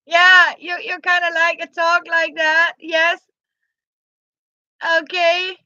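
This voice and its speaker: Very high-voiced Danish woman, halting. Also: flat delivery, pushed and bright, dry recording, highs cut off. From Jutland, Denmark. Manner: halting, restarts words, reads viewer comments aloud. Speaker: live-stream host. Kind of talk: casual live-stream chat.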